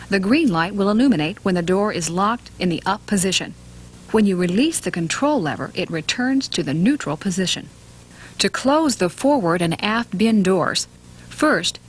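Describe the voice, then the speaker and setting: A woman reading scripted instructional narration for a training film in a measured, matter-of-fact way. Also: mid-range voice, husky.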